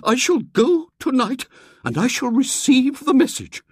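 A woman voicing a pompous, stern elderly spinster who declaims loudly with a tremble of eagerness. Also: high-pitched, big pitch swings, a little slow, husky, no echo, clean studio sound, voice pushed up, full character voice. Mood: solemn, eager.

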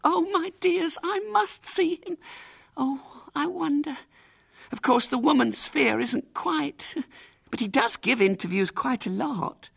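A reader voicing an effusive, excitable middle-aged aunt in high, fluttering tones. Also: male voice, slow pace, vocal fry, full character voice. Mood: thrilled. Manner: breathless, effusive.

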